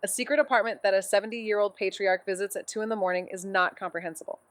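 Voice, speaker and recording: An actress plays a cocky young woman sleuth in a mystery audio drama. She has a clear, smooth voice in a close, dry recording. She is playful and glib, rambling on as she overexplains. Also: medium pitch, fast talker, no room sound, natural voice. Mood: uneasy. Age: 20s. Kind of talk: naturalistic audio drama banter.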